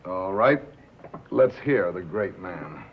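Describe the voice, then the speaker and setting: An actor playing a wry, worldly, cynical radio reporter with a terse, dry delivery in a 1950s film drama. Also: male voice, sits low, animated, slow pace, full character voice. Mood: sardonic. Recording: narrow-band, hissy 1950s film soundtrack.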